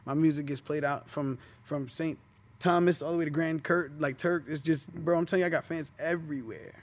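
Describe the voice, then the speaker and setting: Slangy young man, fairly high voice. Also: steady tone, a little fast. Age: 20s. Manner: laid-back street slang, many filler phrases. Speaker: rapper guest. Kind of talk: casual interview chat.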